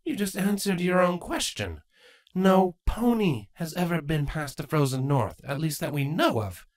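A woman's voice: low-pitched, sing-song, voice pushed up. Woman voicing a regal, commanding changeling queen. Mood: measured. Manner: measured, commanding, formal.

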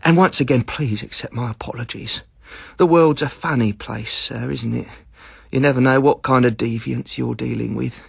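A man's voice: mid-range voice, fairly even intonation, moderate pace, vocal fry, slightly rough, no room sound, clean studio sound. Man acting an earnest, deferential seventeen-year-old boy. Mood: cheerful. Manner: polite, confiding, delicate.

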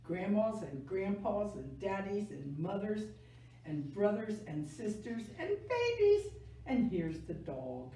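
An older woman reading a picture book aloud to children in a gentle, deliberate way, stressing key words. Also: medium pitch, sing-song. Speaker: library story time reader. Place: small indoor room, seated. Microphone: camera a few metres away. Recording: echoey room, distant mic, faint noise.

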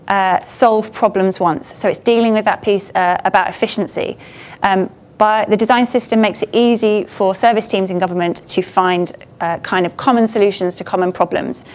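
A woman speaking informally as a presenter on an auditorium stage, conversational and a little hesitant, with loose phrasing. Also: medium pitch. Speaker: conference speaker, government design team member. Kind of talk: informal presentation to a meetup audience.

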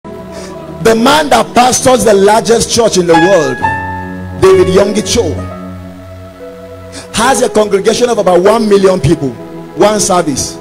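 A man with a Nigerian accent preaching an impassioned sermon, emphatic and repeating his phrases. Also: high voice. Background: background music.

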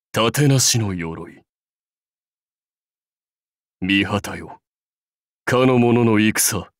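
A man's voice: mid-range voice, dry recording, studio quality, close to an everyday voice. A man voicing a proud, seasoned samurai warlord in a mobile game, speaking in a dignified, archaic lordly manner. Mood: solemn, resolute. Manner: stately, archaic lordly speech. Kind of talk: Japanese mobile game character voice lines.

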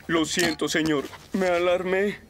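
An actor playing an anxious, bumbling, soft-hearted young firefighter in a Latin American Spanish cartoon dub, flustered and earnest. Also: male voice, sits high, fairly even intonation, a little slow. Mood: sheepish, worried. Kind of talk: children's cartoon dubbing. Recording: music bed under the dialogue.

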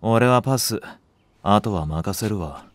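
A man's voice: fairly low pitch, animated, a little slow, dry recording. A man voicing a lazy, sardonic young nursery teacher in a voice drama, languid and teasing. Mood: nonchalant, teasing. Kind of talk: Japanese voice drama acting.

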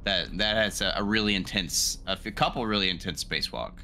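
Male player, lowish forceful voice, casual and halting, light noise behind. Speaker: roleplaying game player. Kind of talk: casual table chat.

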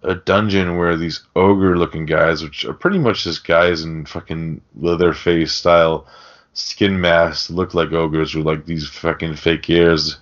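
A man's voice: low-pitched, flat delivery, a little slow, muffled recording. A man hosting a podcast, casually recapping a film in slangy, swear-heavy talk. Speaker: podcast host.

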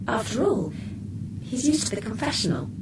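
An actress playing a poised, precise artificial intelligence with a sly streak, speaking formally and exactly in a radio drama. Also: female voice, on the high side, big pitch swings. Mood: precise, detached. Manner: formal, measured, exact phrasing. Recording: roomy, slightly distant, clear background noise.

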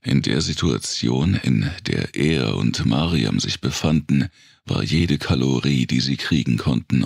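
A man with a gravelly, husky voice reading scripted audiobook narration of a dark thriller. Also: extremely low pitch, no room sound, studio quality.

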